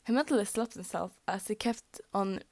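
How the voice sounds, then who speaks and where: A woman's voice: medium pitch, normal speed, a little mellow, no echo. A young woman whose first language is Norwegian, reading fan fiction aloud, often tripping over words and laughing at her slips. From Norway. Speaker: fanfic reader.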